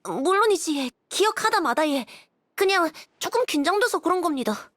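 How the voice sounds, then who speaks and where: A woman's voice: very high-pitched, expressive, moderate pace, a bit bright. A woman voicing a nervous but determined young boy in a video game, with a childlike, earnest voice. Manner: earnest, polite, occasional regional dialect.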